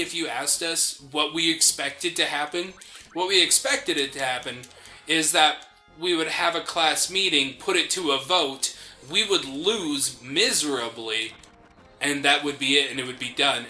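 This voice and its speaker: A man's voice: fairly high pitch, normal speed, soft background music. An American man co-hosting a gaming show, telling stories in casual banter and acting out the reactions he quotes. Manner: animated storyteller who acts out quoted reactions. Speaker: gaming podcast co-host. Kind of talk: casual banter while playing a video game.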